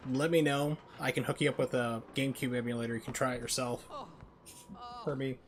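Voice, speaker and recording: A man's voice: mid-range voice, fairly even intonation, fairly quick. A man giving casual, rambling, opinionated live commentary as he streams a video game and chats with viewers. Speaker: game livestreamer.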